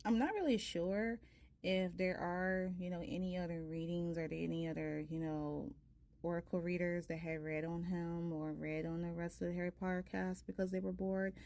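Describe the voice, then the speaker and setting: A woman in her thirties with a soft voice, a tarot reader chatting casually and ramblingly with her viewers. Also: fairly low pitch, steady tone, normal speed. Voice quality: soft-spoken. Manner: rambling, many fillers. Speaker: tarot reader, channel host.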